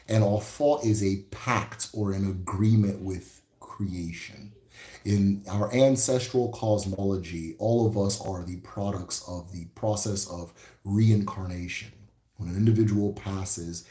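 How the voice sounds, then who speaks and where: A man with an Igbo accent teaching spirituality in a casual livestream, relaxed and reflective, who often repeats key phrases and tags "right?". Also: sits low, reverberant. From Igbo, Nigeria.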